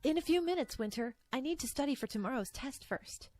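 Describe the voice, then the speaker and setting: A woman's voice: high voice, animated, a little fast, a little mellow. A woman voicing a proud, studious young mare unicorn who brushes others off. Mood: curt. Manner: curt, dismissive.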